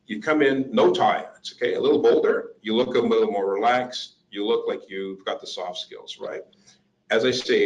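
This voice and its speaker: A man giving a career workshop to job seekers, lively and joking as he acts out little interview scenes. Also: fairly low pitch, rough recording.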